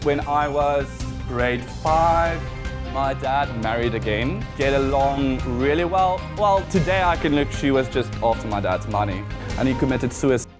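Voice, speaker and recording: A man's voice: medium pitch, animated, unhurried, loud music behind the voice. A man in his twenties with a South African accent, a guest talking casually and laughing often in an interview. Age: 20s.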